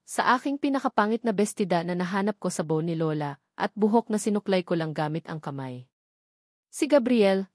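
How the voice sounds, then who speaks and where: A woman's voice: mid-range voice, a little mellow, no room sound, clean studio sound. A woman narrating a scripted first-person love drama as a storyteller.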